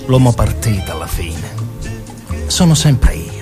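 An actor playing a cocky, sexist mobster with a southern Italian accent, taunting and theatrical. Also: male voice, fairly low pitch, very animated, unhurried, over music. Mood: boastful, defiant. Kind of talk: stage monologue and dialogue in radio drama.

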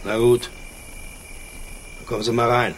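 A man's voice: medium pitch, level tone, unhurried, natural voice. An actor plays the suspicious head of a rural household in a radio drama. He has a mid-range voice and a blunt, bossy, questioning manner. Crickets and faint noise sit in the background. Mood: grudging. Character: gruff, suspicious rural family patriarch with lamp. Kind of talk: radio drama acting in German.

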